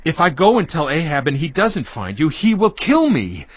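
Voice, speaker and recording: An agitated servant in a Bible audio drama, pleading and protesting. His highish voice leaps up and down with fear, and the sound is slightly muffled. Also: wildly swooping pitch, moderate pace, no echo. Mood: fearful protest. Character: frightened, pleading male palace servant, devout.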